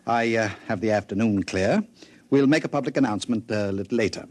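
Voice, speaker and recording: An actor playing a genial, courtly, unruffled overlord with an urbane, chatty and slightly pompous manner, in 1950s radio drama style. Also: male voice, lowish voice, quick pace, darkish tone, natural voice. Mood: genial, matter-of-fact.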